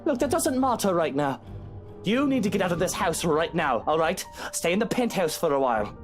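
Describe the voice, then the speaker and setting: A woman's voice: fairly low pitch, big pitch swings, a little fast, soft background music. A woman voicing an anxious, commanding young husband in a visual novel, pleading urgently and with exasperation.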